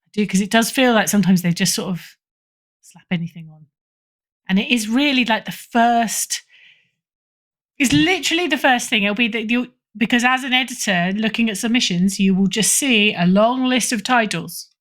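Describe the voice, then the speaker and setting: A woman's voice: a little high, moderate pace, fairly bright tone. A woman speaking as an editor guest in a casual interview, emphatic and opinionated in a conversational way. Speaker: podcast guest, fiction editor.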